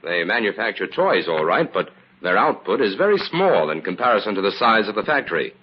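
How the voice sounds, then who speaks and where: An actor playing a calm, shrewd crime investigator in an old-time radio drama, explaining things crisply and confidently. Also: male voice, on the low side, brisk. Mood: confident, businesslike. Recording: muffled, hissy old radio recording.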